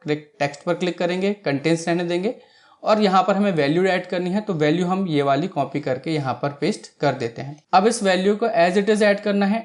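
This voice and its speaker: A man's voice: sits high, fairly quick. A man presenting a step-by-step screen tutorial, explaining each action and often checking in, with English technical terms mixed in. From India.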